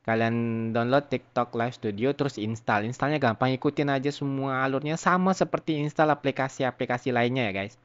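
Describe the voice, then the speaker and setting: Male YouTuber, medium pitch, informal tutorial delivery, chatty and slangy, talking straight to viewers. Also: fairly quick, clean tone, highs cut off. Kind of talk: casual software tutorial.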